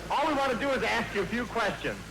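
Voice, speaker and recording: A man's voice: extremely high pitch, big pitch swings, fast. A man playing an exasperated census taker as the straight man in a 1940s radio comedy sketch. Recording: crackly old radio broadcast, loud hiss.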